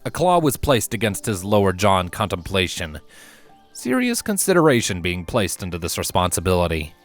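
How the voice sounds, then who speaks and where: Guy reading a fantasy story, kinda deep, expressive n dramatic, bit of music behind him. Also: normal speed. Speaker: story narrator. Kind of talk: scripted fantasy storybook narration.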